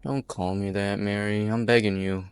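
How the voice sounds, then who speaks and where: A man playing a weary, apologetic outlaw in an amateur game fan dub, speaking in a measured, resigned way. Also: fairly low pitch, little pitch movement, clear, resonant tone, dry recording, faint music behind, lightly acted. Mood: pleading, regretful. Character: weary, apologetic outlaw hostage-taker, a former lawyer.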